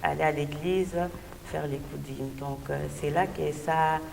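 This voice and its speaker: A woman's voice: low voice, fairly even intonation, unhurried, creaky, a little mellow. A woman from Belgium, a gospel singer and interview guest, giving a personal testimony and often hesitating to find her words. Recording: distant mic, echoey hall, noisy background.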